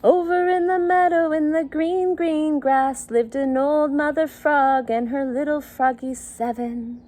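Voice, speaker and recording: A woman's voice: high-pitched, fairly even intonation, measured pace, a little mellow. A woman reading a picture book to young children in a sing-song style, with simple child-directed phrasing and little questions. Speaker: children's circle time teacher and story reader.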